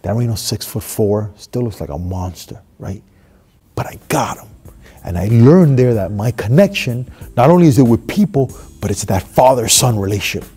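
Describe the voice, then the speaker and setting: A man in his thirties from Miami tells a motivational story, swinging between hushed and loud as he acts it out. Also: medium pitch, lively intonation, moderate pace, husky. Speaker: motivational speaker. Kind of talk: storytelling monologue. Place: stage with red curtain, seated.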